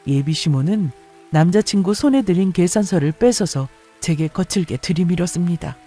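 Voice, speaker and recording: Mellow-voiced woman narrating a story. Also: lowish voice, animated, moderate pace, faint music behind. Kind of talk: scripted first-person story narration.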